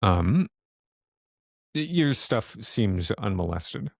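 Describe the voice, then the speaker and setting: A man acting as game master, improvising casual narration of a tabletop game in halting phrases. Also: fairly low pitch, very animated, creaky, no room sound.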